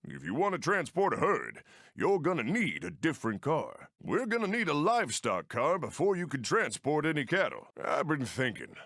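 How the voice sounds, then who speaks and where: An actor playing a friendly Old West steam train engineer in a video game, with an American accent and folksy frontier phrasing. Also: male voice, fairly high pitch, wildly swooping pitch, moderate pace, gravelly, fairly bright tone, no room sound, clean studio sound, heavily acted. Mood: helpful, earnest. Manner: folksy, colloquial drawl of the frontier. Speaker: mission-giver game character. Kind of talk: animated video game voice acting.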